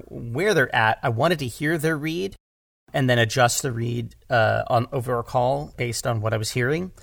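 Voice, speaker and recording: A man's voice: medium pitch, gravelly, fairly bright tone. A man talking casually and emphatically in an interview about his craft, restating phrases as he explains. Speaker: audio drama director and podcast guest; former stage actor.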